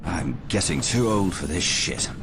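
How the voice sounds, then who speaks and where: A man's voice: deep voice, animated, a little slow, gravelly, very noisy, full character voice. A man playing a weary, sardonic older soldier in a war game. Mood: dry, weary.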